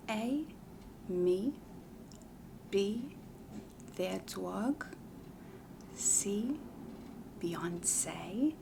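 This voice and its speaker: A woman playing a self-assured, chatty matchmaker in a comedy ASMR roleplay, whispering softly in a deliberate, confiding, matter-of-fact way. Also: mid-range voice, background noise, heavily acted. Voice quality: breathy whisper with heavy creak. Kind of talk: soft-spoken whispered ASMR roleplay questionnaire.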